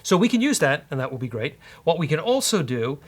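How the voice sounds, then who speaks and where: A man's voice: fairly high pitch, big pitch swings, fairly quick. A man hosting a casual programming walkthrough, thinking aloud in a wry, hesitant way. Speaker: host, programming instructor. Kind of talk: casual live-coding walkthrough.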